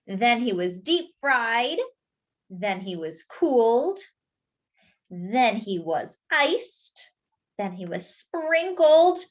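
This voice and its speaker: A woman reading a picture book aloud expressively to young children, pausing to explain things as she goes. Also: a little high, a bit bright. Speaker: children's storyteller. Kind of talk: animated picture-book read-aloud with asides.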